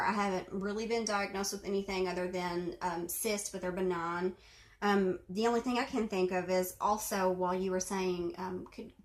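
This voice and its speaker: Young woman, casual vlog chat, mid pitch, roomy echo, rambling with fillers. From Arkansas. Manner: chatty, many fillers, clears throat. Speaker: video host responding to a reading. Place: bedroom at home.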